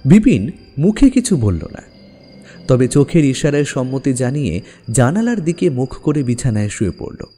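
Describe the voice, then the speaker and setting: Male storyteller for horror audio: warm dark tone, animated, suspenseful pauses, music underneath. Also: medium pitch. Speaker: narrator. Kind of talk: dramatic scripted horror story narration.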